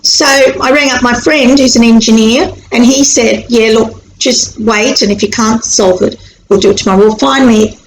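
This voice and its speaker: A woman's voice: fairly high pitch, normal speed. Chatty Australian woman in echoey room. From born in Sri Lanka, raised in Australia. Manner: chatty, animated, acts out anxious inner voices. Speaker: anxiety educator on video. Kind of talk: casual explanatory talk to camera. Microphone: camera mic. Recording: echoey room with faint hiss.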